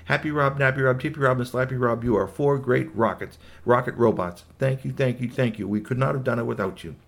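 A man voicing a very polite male ninja robot who speaks slowly and formally, full of thanks. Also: medium pitch, creaky, pitched above the performer's own voice, natural voice. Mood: grateful. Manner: effusively grateful, slow and formal.

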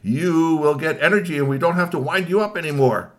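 A man's voice: fairly high pitch, fairly quick, voice pushed up, close to an everyday voice. A man voicing an eager, reassuring professor who explains things emphatically in a children's story.

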